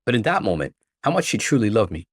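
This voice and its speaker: A man reading a first-person story as narrator, in an expressive, emphatic and dramatic manner. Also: fairly low pitch, fast talker, dry recording.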